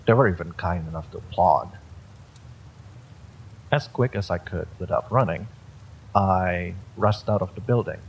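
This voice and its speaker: A man narrates a first-person horror story in a low voice with plenty of gravelly creak. He reads from a script as a storyteller. Faint noise sits behind him. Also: measured pace, long pauses.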